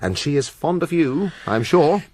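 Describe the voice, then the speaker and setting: Husky scheming prince, bossy and theatrical, voice swings up and down, gothic radio play. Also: male voice, a little high, sing-song, moderate pace, a little mellow. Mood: smoothly persuasive. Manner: commanding, insinuating, theatrical. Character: scheming, imperious middle-aged gothic prince. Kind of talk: classical radio drama acting.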